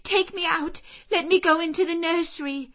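A frightened little girl in a storybook, pitched high and loud, her words spilling out in desperate pleading, as a woman reader puts her on. She begs in a strained, urgent voice. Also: steady tone, moderate pace. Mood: terrified, pleading.

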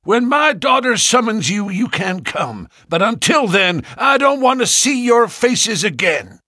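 A man's voice: high voice, very animated, a little slow, dry recording, studio quality, voice pushed up, heavily acted. A man playing a furious gaunt old farmer. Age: older. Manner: shouting, sardonic.

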